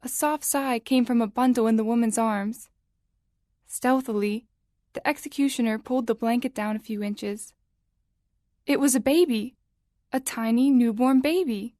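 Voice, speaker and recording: A woman narrates a dramatic tale in a slightly high voice. Her delivery is measured and suspenseful, recorded close and dry. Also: mellow, no room sound. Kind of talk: scripted story narration.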